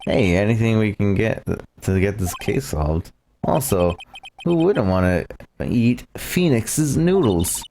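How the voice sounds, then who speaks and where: Low-voiced, casual male game streamer. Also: sing-song, slow pace, a little mellow, a little hiss or hum. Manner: casual, offhand. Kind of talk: live game playthrough, reading dialogue and commenting. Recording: game music behind the voice.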